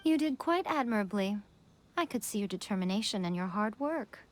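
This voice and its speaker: A woman voicing a sly, composed shrine maiden in a video game, with measured, elegant, teasing delivery and a superior air. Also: medium pitch, expressive, a little hiss or hum, lightly acted. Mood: amused, teasing. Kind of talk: English video game voice acting. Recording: studio voice over background music.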